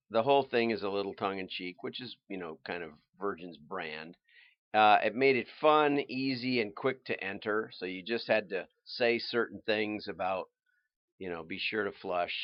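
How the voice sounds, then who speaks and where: A man's voice: lowish voice, no echo. A man hosting a livestream, chatting casually about adverts in a rambling, folksy way. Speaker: livestream host. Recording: slightly dull audio.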